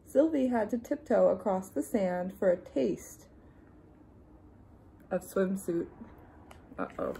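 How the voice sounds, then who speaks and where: Young female teacher for kids' read-aloud. Lively, higher-pitched yet soft-toned delivery, playful asides, indoor room. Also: vocal fry, slightly rough. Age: young adult. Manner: expressive, deliberate, with playful asides to young listeners. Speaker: teacher reading a children's picture book.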